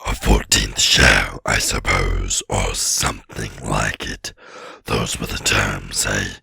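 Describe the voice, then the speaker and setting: Wicked old dragon, raspy breathy growl, forceful and tense, storybook villain, gloating drawl. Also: male voice, extremely low pitch, steady tone, no room sound, clean studio sound, pitched below the performer's own voice, heavily acted. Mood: mocking. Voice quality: gravelly, raspy, menacing. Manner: slow, gloating, sneering drawl. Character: ancient huge boastful male dragon, wicked and wily. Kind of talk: audiobook character voice.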